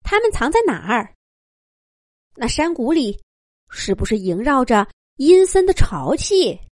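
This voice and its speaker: Female narrator as a young male rabbit, high pitch, very animated, curious, pestering, excitable, storybook character. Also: no room sound, studio quality, exaggerated voice. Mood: eager, curious. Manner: insistent, excitable rapid questioning.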